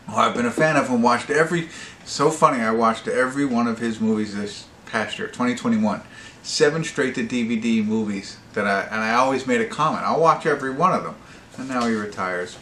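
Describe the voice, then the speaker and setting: Gravelly man rambling through a movie review. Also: mid-range voice, fairly bright tone. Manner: halting, rambling, self-correcting. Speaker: movie review channel host. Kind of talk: casual unscripted movie review to camera. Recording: echoey room with faint noise.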